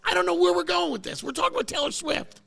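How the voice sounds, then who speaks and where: A man hosting a casual talk show and bantering with his co-host in a car service center. He is losing his voice and gets excitable, and his pitch jumps up when he argues. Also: fast, gravelly. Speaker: podcast host.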